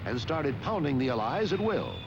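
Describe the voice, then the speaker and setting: A man's voice: a little high, sing-song, normal speed, dull audio, heavy background noise. A man reading scripted historical narration for a war documentary.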